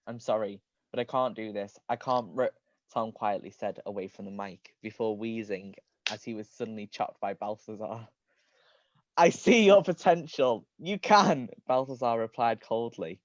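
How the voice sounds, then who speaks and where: A man's voice: lowish voice, no room sound. Man reading aloud, dull close-up sound. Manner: often breaks into giggles. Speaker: reader. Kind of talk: reading comedic fan fiction aloud on a livestream.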